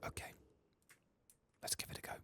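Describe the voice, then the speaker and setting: A man giving soft-spoken, often whispered ASMR game commentary in a gravelly, musing mutter. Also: normal speed. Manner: musing, muttering understated reactions. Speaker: ASMR streamer playing a video game.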